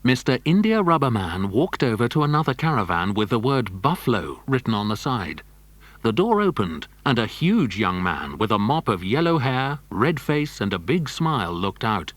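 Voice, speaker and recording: A man's voice: medium pitch, big pitch swings, moderate pace, dry recording. A man narrating a children's adventure story from a script.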